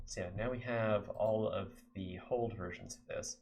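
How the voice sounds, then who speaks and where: A man's voice: on the low side, slow, a little mellow. A young American man from Texas chatting casually to his viewers while coding on a live stream, rambling with lots of fillers. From Texas, USA. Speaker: game developer streamer.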